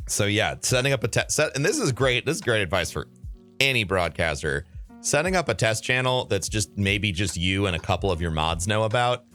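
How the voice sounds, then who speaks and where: Low-voiced, cursing podcast host. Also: male voice, sing-song, a little fast, a bit bright, soft background music. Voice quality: mid bassy voice. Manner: loose, profane, thinks aloud with fillers. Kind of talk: casual advice podcast chat.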